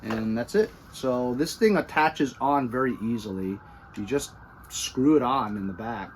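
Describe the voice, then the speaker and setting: A middle-aged American man giving a casual, chatty product review with animated emphasis, recorded by a camera a few feet away in a garage. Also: mid-range voice, measured pace, slight background noise. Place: cluttered garage or workshop.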